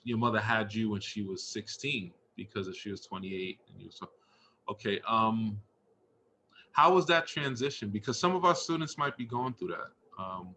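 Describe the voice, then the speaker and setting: A man's voice: fairly low pitch, bright, forceful tone. A man with an ordinary mid-range voice hosts an interview, sounding supportive and empathetic. There is a noticeable layer of noise behind his voice. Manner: encouraging, empathetic toward guest and students. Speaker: interviewer and host of a school staff story series.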